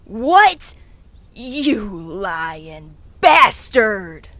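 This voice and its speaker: A woman's voice: medium pitch, very animated, extremely slow, dry recording, heavily acted. A woman playing a hot-headed, scornful Wild West posse leader who blusters in folksy insults. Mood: outraged. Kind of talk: western audio drama acting. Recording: loud music behind the voice.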